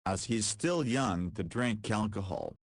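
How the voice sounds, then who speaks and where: A man narrating a visual novel in a low voice. His storytelling is even and matter-of-fact, recorded close and completely dry. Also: unhurried, no room sound. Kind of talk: scripted narration.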